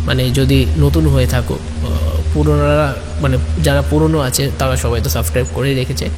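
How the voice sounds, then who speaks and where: A man narrating an audio story as host, emphatic and repeating phrases for effect. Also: medium pitch. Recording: long reverb, clear vehicle-like background noise.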